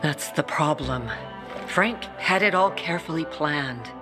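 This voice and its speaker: An actor playing a tough peacekeeper commander who speaks in a gruff, curt way. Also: mid-range voice, animated, measured pace, music in the background.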